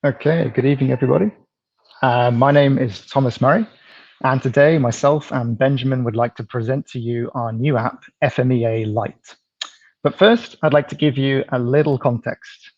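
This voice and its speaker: A man from the UK, presenting an app in a live pitch, explaining steadily with some ums and restarts. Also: steady tone, moderate pace, darkish tone, no echo, dull audio. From United Kingdom.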